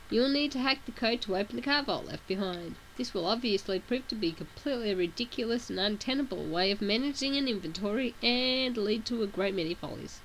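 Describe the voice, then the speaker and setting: A woman's voice: fairly high pitch, a little hiss or hum, poor audio. A woman with a sore throat reading a webcomic aloud, with casual asides between the read text. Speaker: reader of a webcomic.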